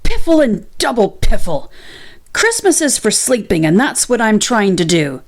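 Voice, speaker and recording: A woman's voice: medium pitch, sing-song, normal speed. A woman voicing a grumpy, huffy male badger in a children's story, spluttering and easily exasperated. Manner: blustering, spluttering exclamations.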